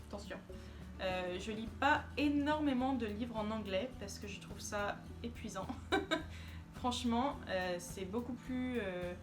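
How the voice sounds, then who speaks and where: A woman's voice: mid-range voice, a little slow. Chatty woman vlogger, music behind. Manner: chatty, hesitant, many fillers. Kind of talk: casual talk to camera.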